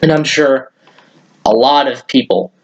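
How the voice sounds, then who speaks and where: A man's voice: mid-range voice, fairly even intonation, a little slow, muffled recording. A man hosting a children's story time, talking to young listeners and reading a story aloud.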